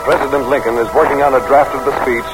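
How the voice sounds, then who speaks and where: A man's voice: medium pitch, steady tone, quick pace, poor audio. A man giving scripted narration in old-time radio style, as narrator of a drama. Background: loud music.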